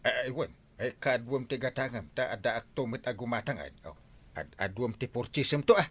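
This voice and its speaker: A man's voice: mid-range voice, animated, fairly quick, breathy edge. A man playing a proud, playful village captain who dotes on his buffalo, in an audio drama with an Ilocano accent. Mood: playful, boastful.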